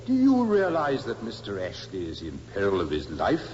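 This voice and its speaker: A man's voice: lowish voice, wildly swooping pitch, moderate pace, darkish tone. An actor playing a stern male defense barrister in a 1950s radio crime drama, formal and insistent in pressing witnesses. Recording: muffled old radio recording, reverberant, hissy.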